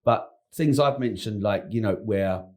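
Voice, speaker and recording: A man with a London accent hosts an easygoing interview, his voice low-pitched and mellow. He talks conversationally, asks probing follow-up questions and calls his guest "mate". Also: measured pace. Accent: London English. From England (London). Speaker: podcast host. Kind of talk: casual interview chat.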